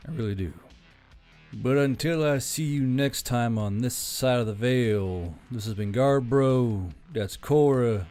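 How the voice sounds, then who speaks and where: A man's voice: mid-range voice, measured pace, mellow, faint music behind. A man speaking as a channel host in a casual, rambling, earnest address to his listeners. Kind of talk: casual unscripted sign-off to listeners.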